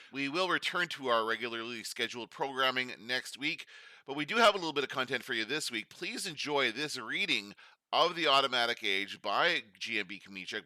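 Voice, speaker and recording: A man's voice: medium pitch, pushed and bright, no room sound. A man speaking as a podcast host, making an announcement to listeners.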